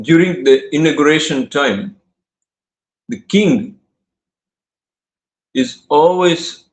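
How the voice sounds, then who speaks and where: Man, Russian accent, devotional talk, slow halting delivery, roomy echo. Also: medium pitch. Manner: halting, many pauses and restarts, laughs often. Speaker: devotional speaker.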